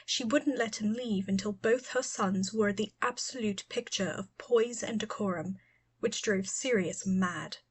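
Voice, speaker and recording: A woman narrates an audiobook from a script. Her voice sits at a middling pitch as she reads the story. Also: breathy edge.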